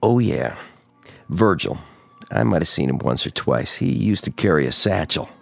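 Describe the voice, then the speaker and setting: Radio drama snitch: extremely low, heavy gravel, evasive lowlife who gives answers reluctantly. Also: male voice, big pitch swings, normal speed, a bit bright, soft background music, full character voice. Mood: cagey. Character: cagey lowlife male informant.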